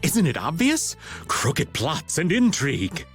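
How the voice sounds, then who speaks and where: Very animated roguish older guy. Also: on the high side, slow, soft background music, exaggerated voice. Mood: playful, teasing. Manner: laid-back, playful, casual. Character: breezy, roguish middle-aged man, playful slacker. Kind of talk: video game dub acting.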